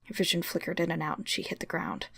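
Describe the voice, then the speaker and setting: A woman's voice: fairly low pitch, steady tone, quick pace, vocal fry, a bit bright, no room sound, clean studio sound. A woman giving a dramatic scripted narration as she reads a story. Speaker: story reader.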